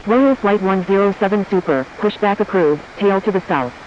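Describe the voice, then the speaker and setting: High pitched guy doing clipped air traffic radio calls, pretty noisy engine background. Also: normal speed, muffled recording.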